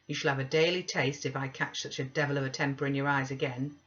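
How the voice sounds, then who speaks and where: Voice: mid-range voice, flat delivery, quick pace, fairly bright tone, lightly acted. A reader voicing a cruel, domineering man in an audiobook, with a harsh, commanding manner. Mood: threatening. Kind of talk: audiobook reading. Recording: dull lo-fi recording.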